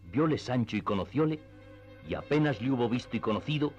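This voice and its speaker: A man narrating a classic novel in a radio drama, with measured literary storytelling. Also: medium pitch, lively intonation, a little mellow, natural voice. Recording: slightly dull, band-limited audio. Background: faint background music.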